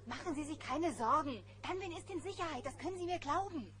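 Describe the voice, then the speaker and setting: An actress playing a spirited, plucky young princess in a German children's cartoon audio play. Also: female voice, sits high, animated, fairly quick, bright, forceful tone. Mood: reassuring. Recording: very noisy old cassette recording.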